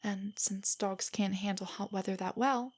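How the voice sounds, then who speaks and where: A young woman playing a nerdy, nervous, tender girlfriend in an intimate stargazing roleplay audio, speaking softly and often near a whisper as she gently rambles. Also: mid-range voice, steady tone, a little slow, breathy edge. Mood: tender, teasing. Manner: rambling, gently explaining, hesitant. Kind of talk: intimate roleplay audio, scripted to sound spontaneous.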